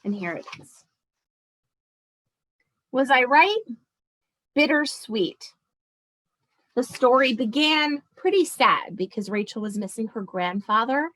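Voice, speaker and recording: Female children's read-aloud narrator, fairly high voice, very measured pace, expressive with explanatory asides. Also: rough recording. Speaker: storyteller reading a picture book aloud to an audience.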